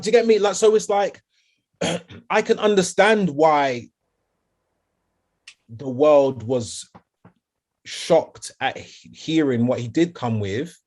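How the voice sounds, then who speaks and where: Man, nasal voice, casual chat, animated, mid pitch. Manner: animated, repeats "do you get me". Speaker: podcast co-host.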